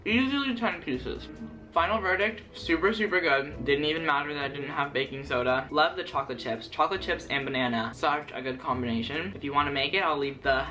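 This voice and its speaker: A man's voice: on the high side, a little fast, pushed and bright, faint music behind. Rambling teen guy in an echoey kitchen. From United States. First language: Japanese. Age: late teens. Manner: rambling, carefully articulated words. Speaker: YouTuber. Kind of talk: casual baking vlog chat. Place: home kitchen.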